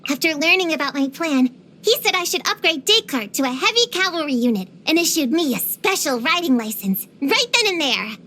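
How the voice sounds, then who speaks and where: High, animated, precocious little girl. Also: fairly quick, exaggerated voice. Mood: earnest, chatty. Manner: earnest, theatrical, uses grown-up vocabulary. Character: precocious, feisty, dramatic little girl witch hunter. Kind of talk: scripted video game character voice lines.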